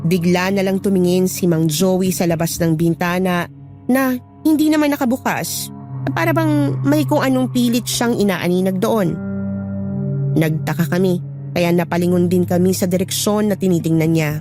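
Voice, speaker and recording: Low-pitched woman narrating horror story. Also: normal speed, music in the background.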